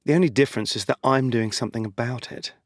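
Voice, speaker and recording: A man's voice: medium pitch, fast talker, dry recording, studio quality, close to an everyday voice. An actor playing a smug, greedy con man in an audio drama, glib and self-satisfied. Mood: smug, dismissive.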